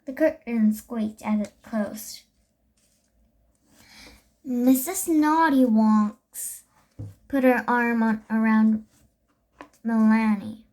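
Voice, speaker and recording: A very young-sounding reader with a high voice reads a children's chapter book aloud, stumbling over words and correcting them. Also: steady tone, very slow, pauses often, darkish tone. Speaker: storybook reader.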